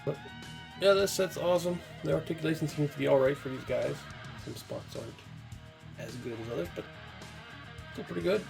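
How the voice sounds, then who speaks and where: A man hosting a casual toy review, relaxed and enthusiastic. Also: a little high, a little fast, gravelly, long pauses, over music.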